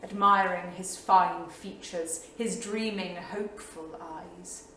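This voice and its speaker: A woman playing a bold, restless 1930s wife in a staged reading, with an intense, lyrical, deliberate theatrical delivery. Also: fairly low pitch, fairly even intonation, pushed and bright. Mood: bold, playful. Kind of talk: staged reading, dramatic monologue. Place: small theatre studio. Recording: echoey theatre, distant mic, faint noise.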